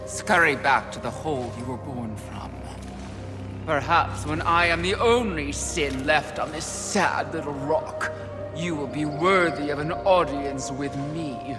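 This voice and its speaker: An actor playing a haughty, contemptuous embodiment of sin in a video game, pompous, sneering and theatrical. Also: male voice, a little high, a little slow, in a large hall, music in the background, natural voice. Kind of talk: dramatic video game acting.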